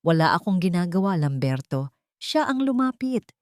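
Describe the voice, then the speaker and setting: A woman's voice: lowish voice, big pitch swings, moderate pace, dry recording. An anguished young wife in a romantic audio drama, acted by a female narrator. She sounds pleading and defensive on a dry recording.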